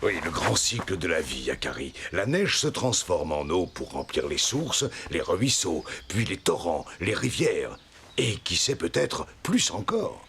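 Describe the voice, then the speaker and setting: Deep, creaky old sage. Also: male voice, sing-song, fairly bright tone, a little hiss or hum, exaggerated voice. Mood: solemn, sage. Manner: solemn, cryptic, measured. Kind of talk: cartoon voice acting.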